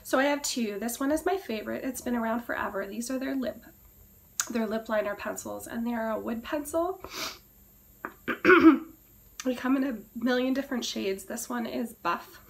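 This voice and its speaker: A woman with a hoarse, cracking voice she says she is losing, chatting casually through a beauty product review and often clearing her throat. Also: a little high, normal speed. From Canada. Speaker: beauty vlogger.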